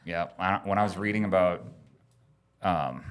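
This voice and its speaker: Male podcast host, low register, informal conversational delivery, explanatory and slightly halting. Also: smooth. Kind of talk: casual chat.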